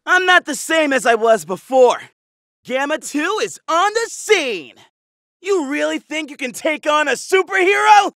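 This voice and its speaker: A very high, bright, shouting voice that is lively and a little gravelly. It belongs to a flashy young android superhero in a game, bragging and hurling battle cries at a measured pace. The sound is dry and close. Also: male voice, no room sound, heavily acted. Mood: boastful. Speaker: voice actor. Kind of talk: video game voice clips.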